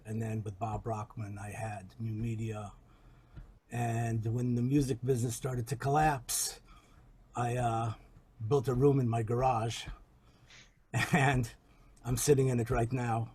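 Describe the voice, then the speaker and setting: A record producer in his fifties telling his background from his garage studio in a measured, reflective way. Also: mid-range voice, steady tone, a little mellow, husky. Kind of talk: self-introduction on a call.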